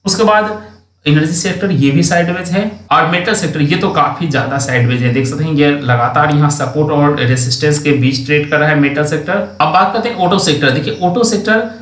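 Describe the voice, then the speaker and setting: A man's voice: a little high, a bit bright, echoey room. A man explaining stock market charts in a casual voice-over, often correcting himself and stretching words as he thinks. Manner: explanatory, restarts and self-corrections, drawn-out words. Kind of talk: screen-share chart analysis. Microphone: voice-over with screen recording.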